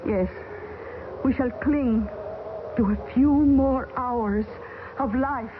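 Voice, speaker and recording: An actress playing a grave, fatalistic woman stranded in the Arctic, speaking with solemn, halting, broken English, in an old radio drama. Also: fairly high pitch. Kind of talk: 1970s radio drama acting. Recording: hissy, tinny old radio broadcast.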